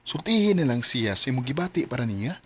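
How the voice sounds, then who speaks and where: A man's voice: medium pitch, sing-song, fast, natural voice. An actor playing a teasing, supportive young male friend in a radio drama. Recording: faint noise and ambience behind.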